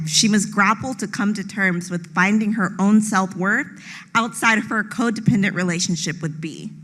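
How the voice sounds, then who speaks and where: A woman's voice: medium pitch, bright, forceful tone. A woman announcer reading a scripted promotional narration for a series.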